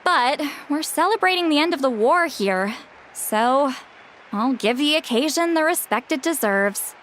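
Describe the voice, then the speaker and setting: A woman's voice: high-pitched, expressive, unhurried, fairly bright tone, slight background noise. A woman voicing a confident, teasing and kindly granny in a video game, wry and playful. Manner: wry, playful, self-assured. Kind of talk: animated video game voice acting.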